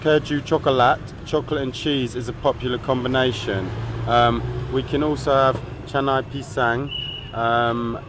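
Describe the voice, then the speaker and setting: A man rambles through a casual travel vlog, tossing in asides and swear words in an ordinary mid-range voice. He talks outdoors on a city street, with clear traffic noise running behind him. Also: mid-range voice, steady tone, normal speed. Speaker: travel vlogger.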